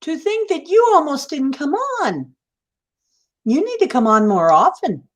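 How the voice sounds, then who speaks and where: Chatty woman stream host, high voice that swoops around, slow and keen. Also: huge pitch swings. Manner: enthusiastic, encouraging, repeats herself. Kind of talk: casual chat.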